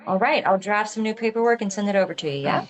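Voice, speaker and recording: An actress reading an inquisitive, reserved but friendly police officer in a film script, casual and understated. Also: female voice, mid-range voice, quick pace, faint music behind, close to an everyday voice, low-quality recording. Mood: reserved, polite. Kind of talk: table read of a film script.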